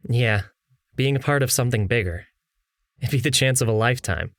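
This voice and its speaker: An actor playing an eager, playful young male gamer swordsman in an audiobook, casual and excitable. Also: medium pitch, brisk, no echo, clean studio sound, natural voice. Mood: agreeable.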